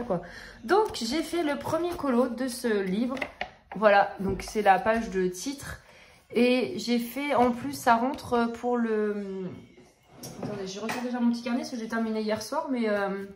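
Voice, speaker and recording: A woman's voice: medium pitch, a little mellow, slight background noise. A French woman in her thirties with a cold, chatting casually in a home vlog and rambling with many fillers and restarts. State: has a cold. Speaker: coloring vlogger. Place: home office room.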